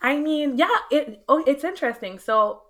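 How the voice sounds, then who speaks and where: Bright young woman, Quebec French accent, native French speaker, animated interview guest. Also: sits high, normal speed. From Montreal, Canada. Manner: animated storyteller, laughs often, acts out quotes. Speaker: guest, realtor. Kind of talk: casual interview chat.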